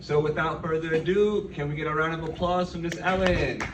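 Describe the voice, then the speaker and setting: A man's voice: on the high side, fairly even intonation, a little fast, slight background noise. A man on the senior center staff introducing a performer, hesitant and full of fillers. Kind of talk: event announcement. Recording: echoey hall, from far off.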